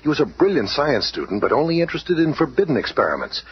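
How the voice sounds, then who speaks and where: An actor playing a serious, authoritative scientist hero who explains things in 1970s radio drama style. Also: male voice, fairly high pitch, sing-song, fast. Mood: grave. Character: earnest, authoritative male scientist hero and leader. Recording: muffled, faintly noisy old radio recording.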